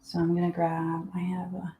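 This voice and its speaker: A woman's voice: fairly low pitch, monotone, normal speed, mellow, a little hiss or hum. A woman from Finland teaching a live painting class from her desk, talking casually and thinking aloud as she works. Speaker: art teacher. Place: home desk. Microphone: live online class setup. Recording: roomy echo.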